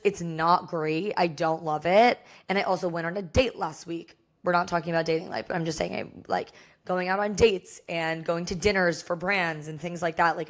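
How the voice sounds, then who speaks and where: Hoarse, low-voiced young American woman. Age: young adult. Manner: chatty, candid, frequent "like". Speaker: podcast host. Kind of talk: casual confessional solo podcast talk.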